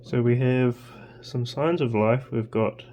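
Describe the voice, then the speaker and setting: A man talks very slowly in a gravelly voice, narrating hands-on tinkering. He pauses and thinks aloud as he goes. A clear hum and noise sit behind him. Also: mid-range voice, dark tone, lo-fi sound. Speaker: host of electronics tinkering videos. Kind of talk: casual hands-on workbench narration.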